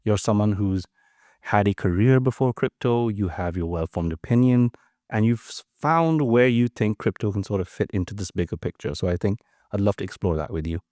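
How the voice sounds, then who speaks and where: A man's voice: on the low side, a little fast, mellow, dry recording, studio quality. A man hosting a podcast, enthusiastic and friendly as he introduces and questions his guest. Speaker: podcast host. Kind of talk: casual interview chat.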